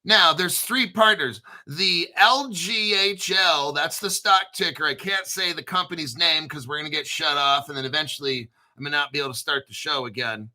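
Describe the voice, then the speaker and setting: Bright-voiced man hosting a crypto show. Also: on the high side, normal speed, hardly any pauses. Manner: conspiratorial, spells out names in letters, swears casually. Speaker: crypto channel host. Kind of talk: casual solo commentary.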